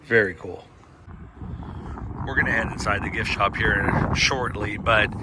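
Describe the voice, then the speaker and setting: Male vlogger, low-voiced and hoarse-sounding, casual outdoor tour, wind buffeting the mic. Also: fairly even intonation, a little slow. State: losing his voice. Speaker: vlogger touring a film location. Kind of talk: casual walking tour commentary. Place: outdoors at a roadside barbecue spot.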